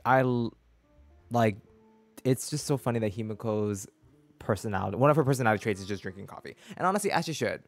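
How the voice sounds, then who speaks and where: A man's voice: medium pitch, lively intonation, fast talker, no room sound. A man in his twenties chatting casually as a game streamer, rambling and cutting himself off, close on a desk mic. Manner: rambling, self-interrupting. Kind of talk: casual livestream chat. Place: small room indoors. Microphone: desk mic close to the mouth. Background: game music.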